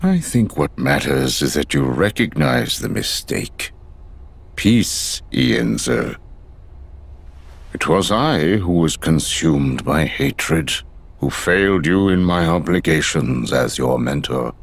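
A wise, repentant old mentor in a video game, speaking with slow dignity. His voice is extremely deep and gravelly. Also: very animated, heavily acted. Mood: solemn.

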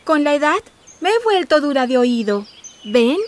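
A woman voicing a sly, flattering female fox in an animated fairy tale, coaxing and syrupy. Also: high-pitched, moderate pace, a little hiss or hum. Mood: sly, wheedling.

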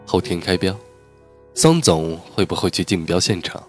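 A composed young architect with a cool, formal reserve, voiced by a man in an audiobook. His voice sits very low and even, with soft music playing underneath. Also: moderate pace. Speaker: voice actor in an audiobook. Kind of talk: audiobook dialogue reading.

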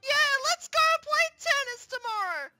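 Excitable cartoon princess, very high and piercingly bright, whiny, petulant, loudly emphatic. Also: male voice, a little slow, soft background music, full character voice. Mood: delighted. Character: excitable, sore-loser young princess, petulant and needy. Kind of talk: plush toy gaming skit.

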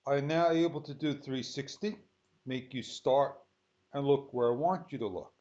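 A man giving an unscripted software tutorial, thinking aloud in short, halting phrases as he works. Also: mid-range voice. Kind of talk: unscripted screen-recorded tutorial. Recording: dull lo-fi sound.

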